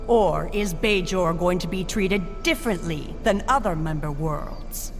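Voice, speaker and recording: A woman's voice: fairly low pitch, a little slow. An actress playing an indignant female political delegate in a video game, formal and pointed. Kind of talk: scripted video game dialogue. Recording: long hall reverb, clear music behind.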